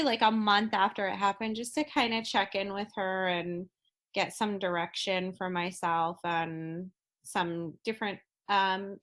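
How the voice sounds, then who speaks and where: A woman in her thirties talks as a podcast guest, her voice at an ordinary middle pitch. She speaks in a halting, heartfelt way, restarting sentences and reaching for words as she goes. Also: a bit bright. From Florida. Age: 30s. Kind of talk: casual podcast conversation.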